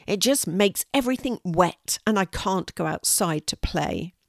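A woman's voice: lowish voice, expressive, measured pace, gravelly, no echo, clean studio sound. A woman doing a grumpy little boy. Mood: cross. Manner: whiny, pleading. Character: young boy, grumpy and cross about rain.

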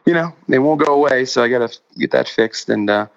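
A man chatting casually as a musician guest in an interview, with a halting, rambling way of talking that often restarts mid-thought. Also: medium pitch, vocal fry, clear, resonant tone, highs cut off. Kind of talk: casual remote interview chat.

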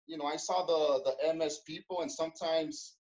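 A man telling his story as an interview guest, in a relaxed way full of street slang, fillers and restarts. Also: on the high side, normal speed, lo-fi sound. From Los Angeles. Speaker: interview guest, former gang member.

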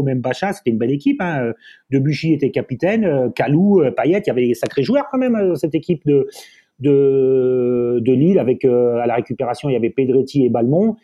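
A man whose first language is German, a football pundit guest in a casual podcast discussion, speaking haltingly and often restarting and repeating words. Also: medium pitch, warm tone, lo-fi sound.

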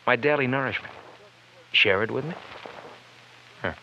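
A man's voice: mid-range voice, normal speed, close to an everyday voice. An actor playing a bitter young wise guy in an old radio crime drama, speaking in sardonic, slangy, stylized patter. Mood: bitter, mocking. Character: bitter, sardonic young bowling-alley man, failed lawyer. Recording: muffled, noisy old radio recording.

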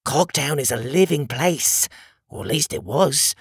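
A man's voice: fairly high pitch, a little slow, vocal fry, slightly rough, no echo, studio quality, pitched above the performer's own voice, heavily acted. A man voicing a grumbling, disgruntled crab-like creature in a storybook.

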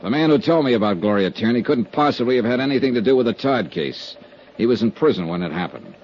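An actor playing a wry, unruffled insurance investigator in 1950s radio drama, with dry, clipped delivery. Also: male voice, medium pitch, animated, quick pace, a little mellow, a little hiss or hum, natural voice. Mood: guarded, defiant. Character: cool, wry hard-boiled male insurance investigator. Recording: dull 1950s radio recording.

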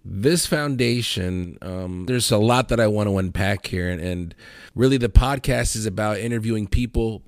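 A man hosting a podcast, interviewing a guest with measured, long lead-in questions. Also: fairly low pitch, no room sound, studio quality. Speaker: podcast host.

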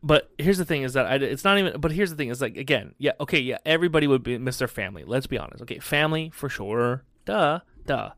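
A man in his forties co-hosting a podcast in a rambling, animated way, often laughing mid-sentence. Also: medium pitch, quick pace, hardly any pauses, no echo. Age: 40s. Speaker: podcast co-host. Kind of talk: casual chat.

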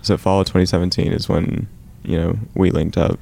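A man's voice: low voice, flat delivery, brisk, creaky, mellow. A young man in his early twenties, a band's drummer, chatting casually and correcting himself as he goes. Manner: rambling, self-correcting. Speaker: band drummer. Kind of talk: casual chat.

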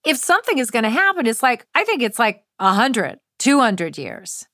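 A woman's voice: on the high side, very animated, normal speed, a bit bright, dry recording, pitched above the performer's own voice. Glamorous female property agent, read by a woman, breezily confident and emphatic, for an audio essay; close, dry sound. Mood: breezy reassurance.

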